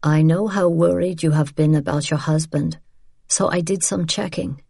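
Stiff, formal army captain, read by a woman narrator, stilted and polite, low voice, dry close sound. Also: steady tone, normal speed, vocal fry, warm tone, breathy edge, no room sound, exaggerated voice. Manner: stilted, overly polite phrasing.

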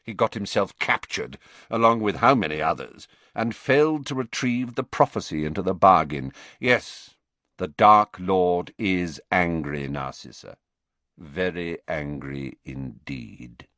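A man voicing a cold, guarded professor who speaks flatly and slowly in an audiobook reading. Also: low voice, dry recording, full character voice. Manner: flat, slow, measured, icy.

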